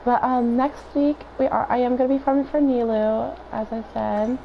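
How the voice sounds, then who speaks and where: A hoarse woman with a raspy voice, chatting and thinking aloud in casual gameplay commentary as she streams. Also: a little high, fairly even intonation, moderate pace. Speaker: game streamer. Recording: dull lo-fi stream audio with faint noise.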